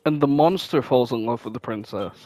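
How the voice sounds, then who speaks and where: A man's voice: mid-range voice, brisk, dark tone, faint music behind. A man chatting casually as a podcast co-host, informal and prone to swearing. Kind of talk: casual chat, guessing game.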